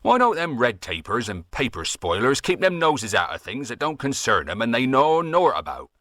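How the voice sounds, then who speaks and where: A man's voice: medium pitch, sing-song, moderate pace, a bit bright, no echo, studio quality. A man voicing an old West Country farmer who rambles on in folksy dialect with a West Country accent, grumbling at red tape. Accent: West Country English. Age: older.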